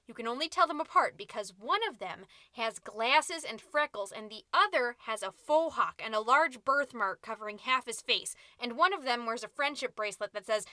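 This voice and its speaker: A woman's voice: on the high side, bright, forceful tone, no room sound, studio quality. A woman playing a chirpy, self-important middle-aged suburban mom who hosts a podcast, talking in a perky, folksy, theatrical and fussy way. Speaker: podcast host. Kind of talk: scripted comedic monologue as a podcast.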